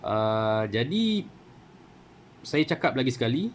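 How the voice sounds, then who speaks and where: A man's voice: medium pitch, big pitch swings, takes it slowly, a little hiss or hum. A man with a Malaysian Malay accent giving a casual how-to talk as a channel host, full of fillers and asides asking for agreement. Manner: chatty, many fillers and rhetorical "kan?". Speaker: radio hobby channel host.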